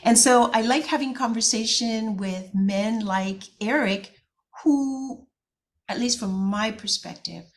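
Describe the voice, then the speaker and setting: A woman hosting an interview podcast, speaking in an earnest, steady way. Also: fairly high pitch, slow. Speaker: podcast host. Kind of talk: interview host.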